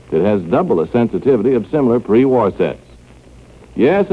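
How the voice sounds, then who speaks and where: An American man announcing a 1940s radio commercial with a polished, emphatic sales delivery. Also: medium pitch. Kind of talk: 1940s radio advertising read. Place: radio studio. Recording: tinny 1940s radio broadcast.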